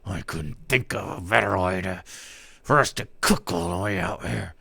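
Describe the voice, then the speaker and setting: Brief: scheming bearded villain read by a man, menacing, bright tense dry voice. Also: low voice, normal speed, gravelly, husky, no echo, voice pushed down, full character voice. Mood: muttering. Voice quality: menacing, sometimes through gritted teeth. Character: scheming bearded male villain, cook-off rival.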